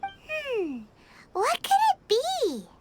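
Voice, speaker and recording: A woman voicing a small, excitable fairy girl companion in a video game, with a squeaky childlike voice and an exclaiming manner. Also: drawn-out words, heavy background noise, exaggerated voice. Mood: musing, curious. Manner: speaks of herself in third person, exclaiming. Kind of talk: video game character acting.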